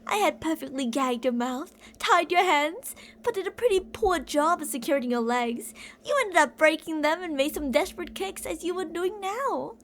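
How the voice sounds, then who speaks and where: A woman's voice: extremely high pitch, lively intonation, normal speed, few pauses, a bit bright, a little hiss or hum. A woman playing an obsessive older female kidnapper in an ASMR roleplay, cooing and possessive, doting yet menacing, with theatrical delivery. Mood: menacing, teasing. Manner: cooing, possessive, theatrical, with pet names and whispered phrases.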